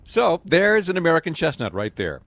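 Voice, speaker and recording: A man hosting and narrating a radio nature program in a clear, storytelling delivery. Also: fairly high pitch, wildly swooping pitch, moderate pace, fairly bright tone, no room sound. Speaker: radio show host and narrator.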